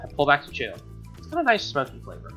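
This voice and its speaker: Casual game streamer, forceful bright delivery, slangy commentary between narrated lines, faint music underneath. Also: medium pitch, huge pitch swings, fast. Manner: slangy, exclaiming, opinionated asides. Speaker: streamer reading a visual novel aloud. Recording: music behind the voice.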